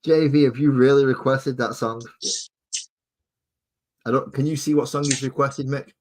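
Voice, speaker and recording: A man's voice: medium pitch, steady tone, normal speed, background noise. A man hosting a livestream, chatting in a jokey, rambling way with his co-host and viewers. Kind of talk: casual chat while painting.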